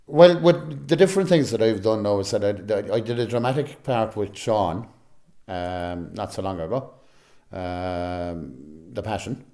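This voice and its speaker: Irish guy in a casual interview, lowish soft dark voice, chatty, lots of you knows. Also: unhurried. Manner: chatty, frequent "you know". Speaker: amateur actor, interviewee.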